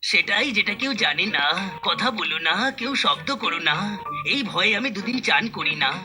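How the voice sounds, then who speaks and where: Man doing a high-voiced blustering cartoon cat. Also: lively intonation, unhurried. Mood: anxious. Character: brash, boastful, excitable big-brother cartoon cat. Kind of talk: cartoon comedy dub. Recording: loud music under the voice.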